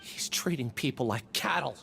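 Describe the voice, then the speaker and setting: A man's voice: mid-range voice, expressive, moderate pace, creaky, sharp tone, a little hiss or hum, heavily acted. A man voicing an earnest, impassioned young student in a video game, emphatic and indignant. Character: earnest, indignant young male student, protective of his friend.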